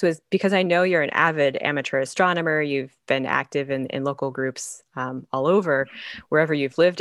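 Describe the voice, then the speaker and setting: A woman's voice: low voice, no echo, clean studio sound. A woman interviewing a guest in a casual, conversational way.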